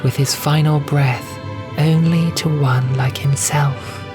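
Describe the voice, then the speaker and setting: Gentle feline novice nun, acted by a man, soft wistful storyteller, pitched up, music underneath. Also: fairly even intonation, takes it slowly. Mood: hushed, wondrous. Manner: soft, wistful, faintly bitter, earnest storytelling. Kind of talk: audio drama storytelling.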